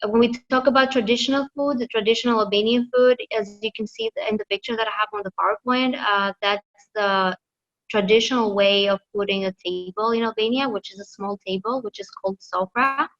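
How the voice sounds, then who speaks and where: A woman presents to a class, her voice sitting at a middling pitch. She talks hesitantly and fills her pauses, often restarting sentences. The sound is a little muffled and lo-fi. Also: a bit bright. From Greece. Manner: hesitant, many fillers and restarts. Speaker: student presenter.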